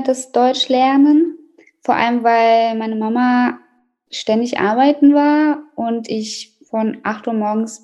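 A woman's voice: on the high side, warm tone. A young woman from Colombia, a native Spanish speaker and student, telling stories casually in an interview.

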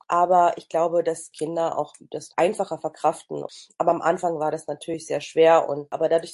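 A woman's voice: fairly low pitch, mellow. A Russian-born woman in her thirties talking frankly about her life in an interview. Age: 30s. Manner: frank, conversational with fillers. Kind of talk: personal account.

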